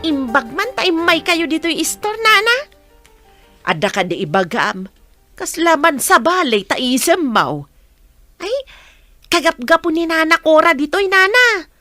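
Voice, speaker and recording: A woman with an Ilocano accent acting a nosy, chatty village mother in a radio drama, inquisitive and expressive. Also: extremely high pitch, a little slow, dry recording, studio quality, faint music behind, full character voice. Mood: prying.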